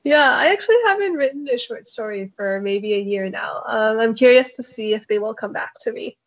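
A woman novelist, a native Mandarin speaker, answering interview questions in a reflective, measured way with small hesitations. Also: fairly high pitch, animated. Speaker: novelist guest. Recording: dull, lo-fi sound.

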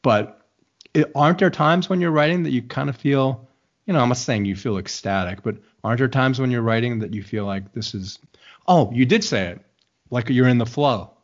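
A man interviewing an author in a relaxed, conversational way, asking long, meandering questions full of restarts and hedges. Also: mid-range voice, normal speed, highs cut off. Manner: rambling, searching questions with many restarts.

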